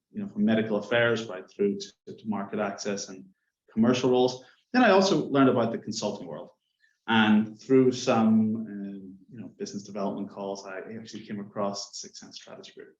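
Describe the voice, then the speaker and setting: Irish man panelist, low voice, relaxed storyteller, roomy muffled webinar sound. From Ireland. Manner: relaxed storytelling with many fillers. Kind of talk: webinar career talk. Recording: echoey dull lo-fi webinar audio.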